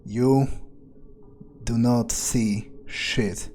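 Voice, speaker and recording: Arrogant, cold-blooded vampire hitman played by a male gamer, mellow and unhurried, thinking aloud, foul-mouthed, faint music behind. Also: medium pitch, fairly even intonation, a little hiss or hum. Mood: calculating, irritated. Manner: musing, halting, foul-mouthed. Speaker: gamer playing in character. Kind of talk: in-character game playthrough monologue.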